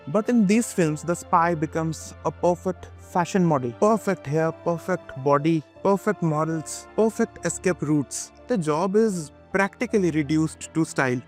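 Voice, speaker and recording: Male video essayist, Indian accent, fairly high register; scripted opinion read with emphatic repetition and dramatic pauses, light music bed. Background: background music.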